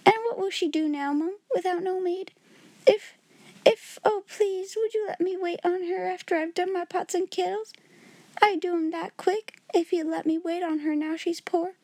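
A woman's voice: extremely high pitch, fairly even intonation, moderate pace, darkish tone, no echo, pitched above the performer's own voice. A woman voicing a timid young scullery maid girl who pleads through sobs and drops letters as she talks. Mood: sobbing.

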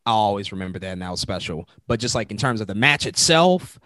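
A man co-hosting a casual wrestling chat, laid-back and thoughtful, often pausing on "um". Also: on the low side, dry recording, clean studio sound. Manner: relaxed, thoughtful, with fillers. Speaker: wrestling podcast co-host.

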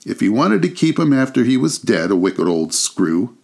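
A man voicing a bold, coarse charwoman in a storybook reading, rough-spoken and scornful. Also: medium pitch, very animated, moderate pace, a little mellow, pitched above the performer's own voice.

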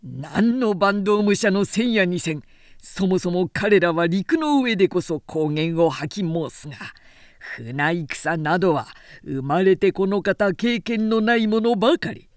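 A woman's voice: lowish voice, animated, measured pace, dry recording, studio quality. A woman doing a blustering, scornful samurai warrior. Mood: scornful, boastful. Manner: blustering, contemptuous, archaic speech.

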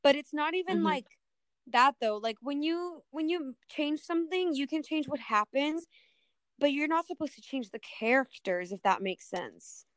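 A young woman podcast co-host chatting casually in an animated, opinionated way, peppering her talk with "like". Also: high-pitched, normal speed, no echo. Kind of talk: casual chat.

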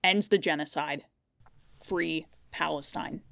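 A woman with a slightly low voice reads a prepared political statement. She is firm and declarative, and the recording is dry and close. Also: slow pace, vocal fry, fairly bright tone, dry recording.

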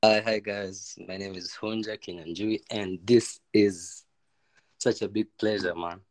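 A man with a Kenyan accent speaks as a guest in casual chat. His voice is low, and the sound is very dry. He is informal and hesitates as he looks for words. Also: fairly even intonation, a little slow, no echo, rough recording. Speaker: podcast guest.

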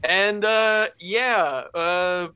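A man riffing in a relaxed film review chat, crude and playful. His voice sits a bit high, with a bright, edgy tone. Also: clear, resonant tone. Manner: joking, crude, quick to laugh. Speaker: podcast co-host.

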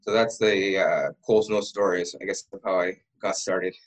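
A man's voice: low-pitched, steady tone, normal speed, rough recording. A man from Ottawa, a real estate broker who is a podcast guest, telling his backstory in a rambling way with many restarts. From Ottawa, Canada. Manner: rambling storyteller who often restarts words. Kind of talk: casual podcast interview.

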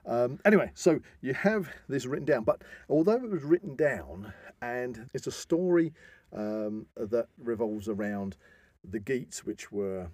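A man hosting an informal discussion, explaining with halting enthusiasm and emphatic stresses. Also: mid-range voice, animated, darkish tone, no echo. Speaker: podcast host.

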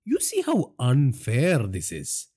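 A captive reporter in his thirties who pushes back in a high, strained voice, as a male narrator plays him. The tone stays husky and dark. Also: huge pitch swings, slow pace, heavily acted. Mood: defiant. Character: defiant male journalist, late thirties.

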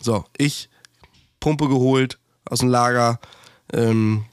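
Chatty German heating technician with a cold. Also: male voice, medium pitch, fairly even intonation, takes it slowly, clear, resonant tone, no room sound, clean studio sound. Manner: chatty, swearing, rambling with restarts. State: has a cold. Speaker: podcast co-host, heating technician. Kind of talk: casual podcast chat.

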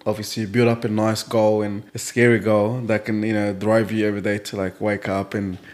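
A man's voice: fairly low pitch, flat delivery, a little fast. A man who grew up in Africa, a podcast guest chatting casually and giving advice, filling his talk with "you know". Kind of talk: casual group chat.

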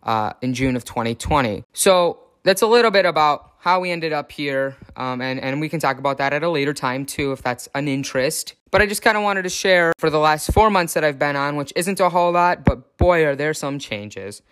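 A man's voice: fairly high pitch, fairly quick, hardly any pauses, a bit bright, clear, resonant tone. A young man from the Chicago area, candidly talking through his own experiences in a casual, upbeat vlog with self-deprecating humor. His voice is changing and sometimes cracks. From Chicago area, USA. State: voice changing on testosterone, occasional cracks. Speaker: vlogger.

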